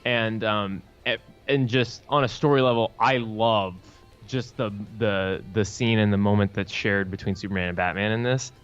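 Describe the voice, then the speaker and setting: A man's voice: fairly low pitch, fairly even intonation, moderate pace, a bit bright, clear, resonant tone. A man chatting casually as a podcast co-host. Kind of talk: casual chat. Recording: dull lo-fi sound, music behind.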